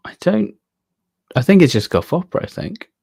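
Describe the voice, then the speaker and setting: A man's voice: sits high, sing-song, normal speed, vocal fry, a little mellow, breathy edge, no echo, studio quality. A man co-hosting a fan discussion show in a casual, conversational way. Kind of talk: casual chat.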